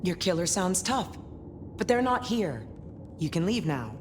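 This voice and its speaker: A woman's voice: lowish voice, big pitch swings, unhurried. A woman voicing a tough, protective vampire in a video game, curt, hard and threatening. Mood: hostile, curt. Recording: clear ambient noise behind the voice.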